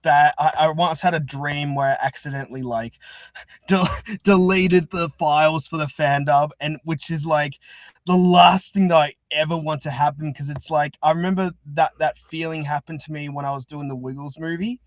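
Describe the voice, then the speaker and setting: A man's voice: on the high side, fairly even intonation, moderate pace, no room sound. A man chatting casually as an interview guest, talking in a rambling, chatty way. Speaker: interview guest, fan-dub creator. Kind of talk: casual chat.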